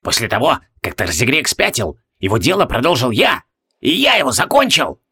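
A man voicing a frantic, cranky old mad alchemist in a fantasy audiobook, husky and barking, repeating himself excitedly. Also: mid-range voice, a little fast, voice pushed up, full character voice. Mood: triumphant.

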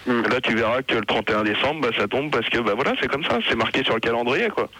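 A man's voice: mid-range voice, fast, crowd noise. A man in a comic mock radio phone-in answering in a casual, offhand, matter-of-fact way.

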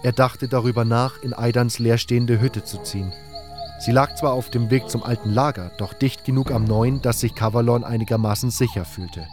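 A man's voice: medium pitch, fairly even intonation, normal speed. A man reading scripted first-person narration for a fantasy audio drama. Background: music.

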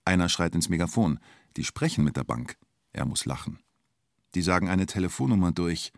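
An actor playing a wry, colloquial storyteller in a radio drama, speaking in clipped present-tense phrases. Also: male voice, low-pitched, expressive, normal speed, no room sound, clean studio sound. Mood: wry, observing. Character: male storyteller recalling the bank siege, wry and colloquial.

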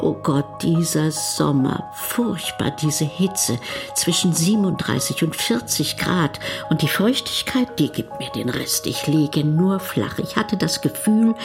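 A woman playing a candid older retired wife in a radio documentary play, plain-spoken and conversational. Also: low-pitched. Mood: exasperated. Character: candid older retired wife, a grandmother living between cultures.

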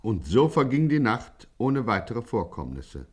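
An actor as the wry narrator of a 1950s German radio gangster satire, telling the story with dry irony. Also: male voice, medium pitch, very animated, measured pace, a little mellow, a little hiss or hum. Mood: dryly ironic. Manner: dryly ironic, storytelling.

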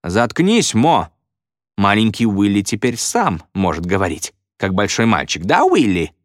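Jeering teenage bully, acted by a male narrator, domineering, barked demands, animated pitch, storybook. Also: a little slow, no echo, clean studio sound. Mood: taunting. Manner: taunting, hectoring, barking demands.

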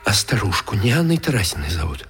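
An actor playing a curious, pensive Tsarist-era gentleman in a radio drama, musing and questioning. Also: on the low side, very animated, fast. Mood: astonished, pensive. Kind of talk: period radio drama acting. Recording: radio drama with music behind.

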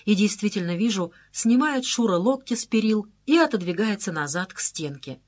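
Woman narrating a lively audiobook. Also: mid-range voice, normal speed. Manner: lively, conversational storytelling. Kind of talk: scripted first-person narration.

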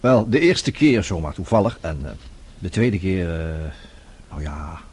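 An actor playing a wry, hesitant television interviewer in a radio drama, evasive but polite, with a husky voice. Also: male voice, low-pitched, very animated, unhurried, darkish tone, full character voice. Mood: embarrassed.

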